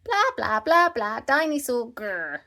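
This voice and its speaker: Woman doing a high-pitched, excited toddler piglet girl. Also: slow pace, heavily acted. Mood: giggly, playful. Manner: babyish one- and two-word exclamations. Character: tiny excited toddler pig girl, sweet and giggly.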